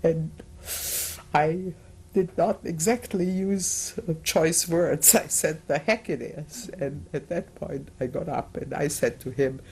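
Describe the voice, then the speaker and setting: A woman in her sixties giving oral history testimony in an interview, speaking hesitantly with many filler pauses. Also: low-pitched, fairly even intonation, darkish tone, a little hiss or hum. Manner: slow, measured.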